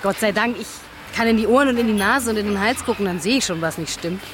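A woman's voice: mid-range voice, fast talker, natural voice. An actress playing a practical, candid German expatriate woman, chatting colloquially in a radio drama. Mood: exasperated, amused. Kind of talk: conversational documentary radio drama. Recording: clear street and traffic noise.

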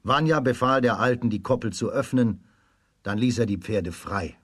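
A man with a husky voice narrating a children's radio fairy tale in a dramatic storytelling manner. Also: mid-range voice, normal speed, no room sound, clean studio sound.

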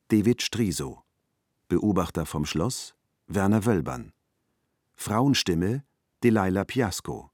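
Male announcer for scripted credits, low and breathy-rough, unhurried, close dry recording. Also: no room sound.

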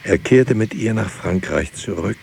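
An actor playing a grave narrator in a literary radio drama, speaking in a solemn, measured way. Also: male voice, fairly low pitch, lively intonation, vocal fry, a little mellow, a little hiss or hum, exaggerated voice. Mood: grave, matter-of-fact. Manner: solemn, measured, terse sentences. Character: grave male narrator recounting lives and losses.

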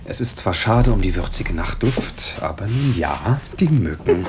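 An actor in a German radio drama playing a wealthy master of the house, wry, evasive and given to flowery irony. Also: male voice, deep voice, very animated, unhurried, very noisy. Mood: urbane, flowery. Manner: wry, ironic, grandiloquent.

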